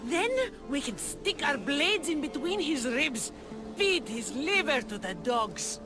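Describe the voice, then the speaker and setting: A high, animated rebel leader. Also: female voice, takes it slowly, full character voice. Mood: bloodthirsty teasing. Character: bold, passionate female rebel leader. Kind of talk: video game voice acting. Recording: background music under the voice.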